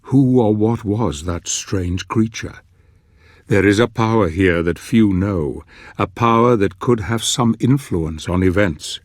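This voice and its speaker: An actor playing a grave, brooding storyteller who narrates a dark fantasy game in a deliberate, dramatic way, with a husky voice. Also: male voice, on the low side, no room sound, studio quality. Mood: grave, ominous. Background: music.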